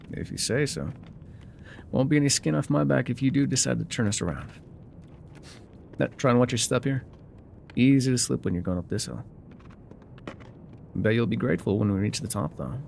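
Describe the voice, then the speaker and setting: Guy doing a gruff cowboy-ish trail guide for asmr roleplay, crackly fry in the voice, guarded and folksy, bit of rumble behind. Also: expressive, moderate pace, pauses often, darkish tone. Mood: gruff, wry. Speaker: voice actor in an audio roleplay. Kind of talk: immersive ASMR roleplay acting, talking to the listener.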